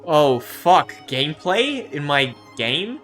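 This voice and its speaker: A man's voice: on the high side, very animated, takes it slowly, a bit bright, soft background music. A man streaming a game, chatting casually in a sweary, argumentative, teasing way. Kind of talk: casual banter while livestreaming a game.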